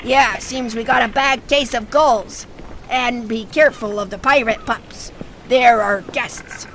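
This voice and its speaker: A woman's voice: on the high side, unhurried, fairly bright tone, a little hiss or hum, rough recording. A woman playing an eager, scrappy first mate pirate dog in a comic audio drama, brash and folksy. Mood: brisk, dutiful.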